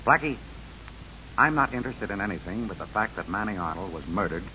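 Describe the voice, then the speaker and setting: A man's voice: lowish voice, lively intonation, fairly quick, close to an everyday voice. An actor playing an earnest, resolute lawman who speaks sternly and emphatically in a 1940s radio drama. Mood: accusing. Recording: hissy, dull old radio broadcast.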